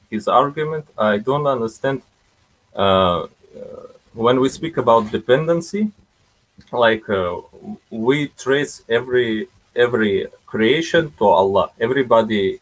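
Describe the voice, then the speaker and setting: A man with a Russian accent calling in with a question, speaking haltingly as he searches for words. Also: on the low side, low-quality recording. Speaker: caller asking a question.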